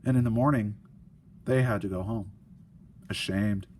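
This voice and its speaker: A man reading a children's story aloud, with deliberate phrasing and dramatic emphasis. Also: fairly low pitch, a little mellow. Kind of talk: expressive children's story narration. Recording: faint background noise and ambience.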